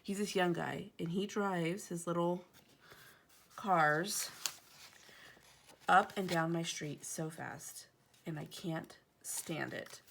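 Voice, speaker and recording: Woman, 30s, gravelly, lowish voice, chatty and rambling, stuffy from allergies, faint hiss behind. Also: steady tone, takes it slowly, long pauses, a bit bright. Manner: chatty, rambling, digresses often. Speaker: planner vlogger. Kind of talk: casual chat while decorating a planner. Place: home, indoors.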